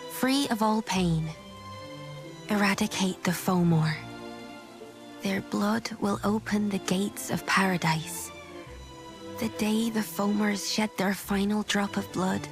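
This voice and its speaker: An actress playing a gentle, devout young oracle in a video game cutscene, soft-spoken, pensive and solemn. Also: female voice, mid-range voice, lightly acted.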